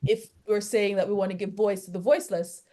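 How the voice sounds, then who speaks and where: A woman's voice: mid-range voice, fairly even intonation, a little fast, warm tone. A woman academic with a Jamaican accent, in an academic discussion, hesitantly searching for words and repeating phrases. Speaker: academic discussant asking questions.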